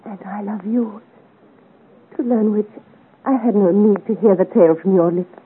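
A woman's voice: mid-range voice, normal speed, husky, phone-quality sound. An actress playing a proud, devoted young noblewoman in a 1950s radio melodrama, speaking earnestly in formal, theatrical period style. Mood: loving. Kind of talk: 1950 radio serial romantic melodrama acting. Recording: thin, noisy old 1950s radio recording.

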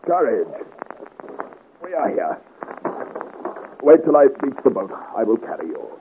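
An actor playing a gallant, bold young Breton adventurer in a radio serial, earnest and formally courteous. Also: male voice, medium pitch, very animated, unhurried. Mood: tender, reassuring. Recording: noisy, narrow-band 1950 radio serial.

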